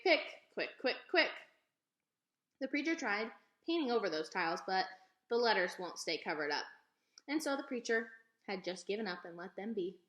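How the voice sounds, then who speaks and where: A woman reading a children's book aloud as an expressive storyteller. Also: medium pitch. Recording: echoey room.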